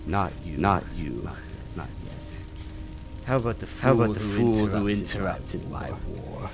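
A man playing a menacing, taunting vampire war leader in a Minecraft roleplay, with drawn-out threats. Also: low voice, animated. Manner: slow, drawn-out, threatening delivery. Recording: music behind the voice.

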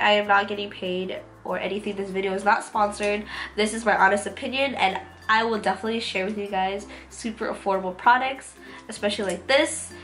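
A woman's voice: mid-range voice, a bit bright. Nasal young woman, music behind. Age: 20s. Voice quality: nasal, congested. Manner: enthusiastic, gushing. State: getting over a cold. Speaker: beauty vlogger. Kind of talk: casual makeup review to camera. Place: small room at home, indoors. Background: background music.

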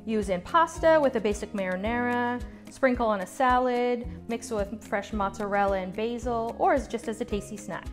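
Woman narrating a recipe calmly. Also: on the high side, normal speed, hardly any pauses. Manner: calm step-by-step instructions. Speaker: cooking instructor. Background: soft background music.

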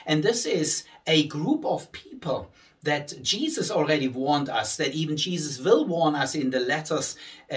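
Earnest middle-aged male pastor. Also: a little high. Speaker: church pastor. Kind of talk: earnest church message and announcements.

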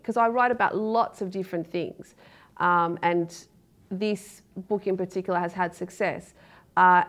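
A woman in her thirties with an Australian accent, a poet speaking openly and earnestly in a studio interview, with many ums and restarts. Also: mid-range voice. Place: dark TV studio, seated in a chair.

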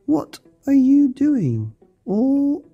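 A woman's voice: high voice, wildly swooping pitch, drawn-out words, phone-quality sound, soft background music, voice pushed up, exaggerated voice. A woman voicing a small, fearless girl in a storybook, cheeky and matter-of-fact. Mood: cheeky, bold.

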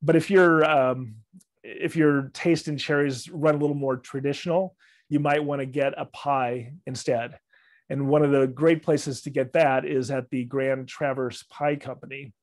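A man's voice: mid-range voice, fairly even intonation, unhurried, no echo. A middle-aged man giving a friendly, loosely worded travel talk with slides over a video call. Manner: friendly, loose, with fillers and restarts. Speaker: library travel club presenter. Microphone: video call webcam.

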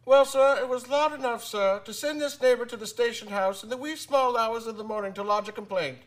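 An actor in a radio drama playing a stern, accusing police constable with an emphatic, insistent delivery. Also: male voice, very high voice, a little fast, rough recording.

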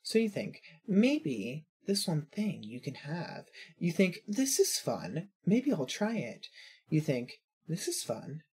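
A narrator reading scripted fiction in a husky voice. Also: medium pitch, big pitch swings, takes it slowly, a little mellow.

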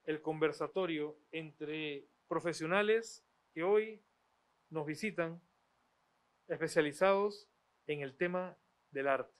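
Formal man moderating a panel. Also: a little high. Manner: formal, measured delivery with frequent pauses. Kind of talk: reading guest introductions, then moderating. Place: university TV studio set. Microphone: handheld microphone.

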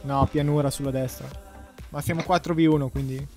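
A man's voice: mid-range voice, fairly even intonation, brisk, a little mellow. A man with an Italian accent giving casual gameplay commentary while playing with friends. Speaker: gaming YouTuber. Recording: faint music behind.

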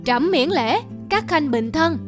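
A woman's voice: high-pitched, expressive, unhurried, faint music behind. A woman voicing a benevolent elderly emperor in a cartoon folktale, formal and regal. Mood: gracious. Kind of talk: historical cartoon folktale dub.

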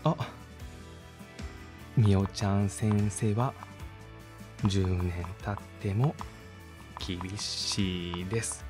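Young guy acting a chill college student musing to himself, lowish relaxed voice, voice drama, faint music behind. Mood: sincere. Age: young adult. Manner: unhurried, musing, mellow. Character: laid-back, sincere 22-year-old male university student. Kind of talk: voice drama monologue, thinking aloud while writing.

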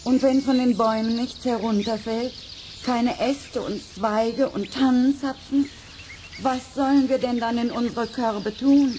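A woman's voice: on the high side, fairly even intonation, unhurried, mellow, a little hiss or hum, natural voice. A woman playing a poor, downcast firewood gatherer in a children's fairy-tale radio play. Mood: gloomy, resigned.

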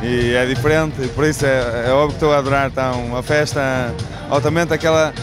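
A man's voice: mid-range voice, fairly even intonation, fairly quick, music in the background. A young man with a European Portuguese accent chatting casually in a street interview. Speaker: festival visitor being interviewed. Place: outdoors at night at a street festival. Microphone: handheld reporter microphone.

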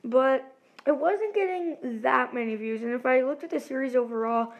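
A woman's voice: high-pitched, moderate pace. A young woman hosting a gaming channel, rambling through a casual voice-over with many ums and restarts. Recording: loud game music behind. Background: loud music.

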